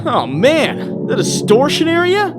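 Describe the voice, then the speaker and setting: A man's voice: very high-pitched, huge pitch swings, unhurried, heavily acted. A man playing a young, weary, wisecracking superhero in a comic dub, exasperated and sardonic. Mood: alarmed, sardonic. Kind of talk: comic dub hero acting. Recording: loud music behind.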